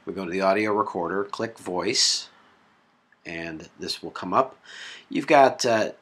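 A man presenting a software tutorial step by step in a halting way, recorded on a laptop microphone. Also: low voice, slightly rough. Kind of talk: hands-on software tutorial narration. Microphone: built-in laptop microphone. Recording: noisy laptop mic sound.

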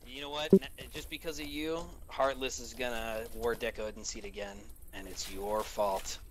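A man's voice: mid-range voice, fairly even intonation, moderate pace, fairly bright tone, a little hiss or hum, low-quality recording. A man confronting another player in game voice chat, accusing him and repeating his points. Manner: accusatory, repetitive.

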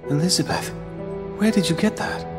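A man's voice: sits high, normal speed, lightly acted. Earnest young hero over music, a man acting. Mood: troubled, quiet. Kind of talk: dramatic video game voice acting.

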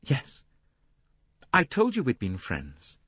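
A man voicing an impatient, indignant wealthy young married woman with a sharp, agitated manner in an audiobook. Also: mid-range voice, wildly swooping pitch, takes it slowly, husky, dry recording, voice pushed up, exaggerated voice. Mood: sharp, defensive.

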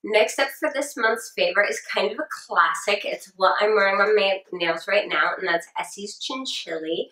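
Hoarse young woman reviewing makeup. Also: medium pitch, moderate pace, few pauses, pushed and bright, roomy sound, poor audio. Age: young adult. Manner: chatty and upbeat, repeats words for emphasis. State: sore throat, losing her voice. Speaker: beauty vlogger. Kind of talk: casual product review to camera. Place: small room indoors with shelves. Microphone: camera at close framing.

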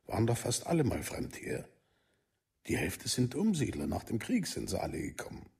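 An actor playing a puzzled village local in a documentary radio play, raspy, colloquial and ending on questioning tags. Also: male voice, medium pitch, wildly swooping pitch, normal speed, darkish tone, full character voice. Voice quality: raspy, gravelly.

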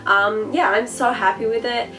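A woman's voice: fairly high pitch, steady tone, normal speed, fairly bright tone, recorded from a distance, soft background music, rough recording. A woman in her twenties with a slightly nasal voice from a cold, chatting through a sewing tutorial with frequent "um" fillers. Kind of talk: casual step-by-step voiceover.

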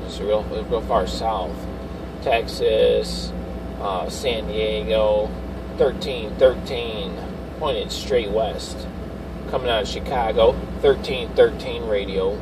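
A man's voice: lowish voice, measured pace, far from the mic. Middle-aged male CB operator, slangy repeated call signs, off-mic inside a very noisy vehicle. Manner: repetitive, slangy on-air patter. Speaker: CB radio operator. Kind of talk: casual CB radio chatter with call signs. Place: inside a parked or running Suburban. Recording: loud vehicle noise, off-mic.

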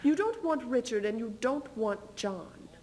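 Weary, sharp-tongued queen for stage drama young actress: highish voice, widely swinging intonation, biting delivery. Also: animated, a little slow. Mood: defiant, impatient. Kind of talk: theatrical duo interpretation, stage reading. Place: indoor stage in front of curtain.

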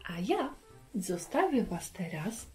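A woman's voice: mid-range voice, very animated, slow pace, darkish tone, faint music behind. A middle-aged woman hosting a children's Bible lesson, speaking directly and encouragingly to her young viewers. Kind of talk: presenting to children. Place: furnished living room indoors.

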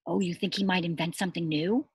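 A middle-aged woman reading a picture book aloud to young children as their teacher, expressive, asking questions and pausing for answers. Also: lowish voice, breathy edge. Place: outdoors among trees and shrubs.

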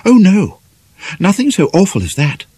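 A man's voice: sits high, huge pitch swings, moderate pace, dark tone, husky, dry recording. A man with a British accent reading a novel aloud as a dramatic audiobook narrator.

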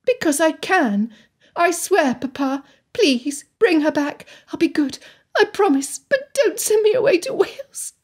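Woman voicing a high-pitched, headstrong young girl. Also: animated, fairly quick, a little mellow. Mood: pleading. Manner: earnest, insistent, pleading. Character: headstrong, impulsive young girl of genteel birth. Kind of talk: dramatised audiobook dialogue.

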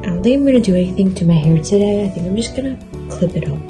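A woman's voice: medium pitch, very fast, music in the background. Tired young woman chatting in a vlog. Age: young adult. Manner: relaxed, chatty, filler-heavy with "like" and "kinda". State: low energy, tired. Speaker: vlogger. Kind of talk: casual vlog chat to camera. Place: bedroom, indoors, small. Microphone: phone camera at arm's length.

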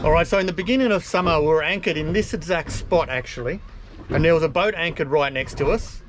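A man's voice: a little high, fast talker, faint music behind. A man in his forties with an Australian accent, chatting casually and rambling a little as he films himself on a small motorboat outdoors. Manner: chatty, rambling, self-deprecating. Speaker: boating vlogger. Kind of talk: casual vlog narration. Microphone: camera mounted on the boat.

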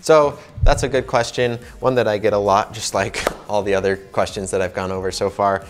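A man's voice: on the low side, fairly even intonation, normal speed, background noise. A man in his twenties with an American accent, answering fan questions to camera in an informal, conversational way. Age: 20s. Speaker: host, ring maker. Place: indoor workshop with wooden wall.

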